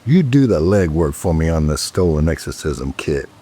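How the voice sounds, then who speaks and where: An actor playing a world-weary, curt and sardonic police detective in an audio drama. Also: male voice, very deep voice, big pitch swings, normal speed, dark tone, husky, full character voice. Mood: brusque.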